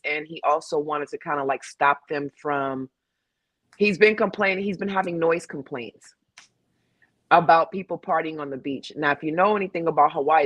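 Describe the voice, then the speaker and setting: A woman's voice: sits low, slightly rough, dry recording. Woman panel guest, casual, emphatic and profane, dry close sound. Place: home in Hawaii.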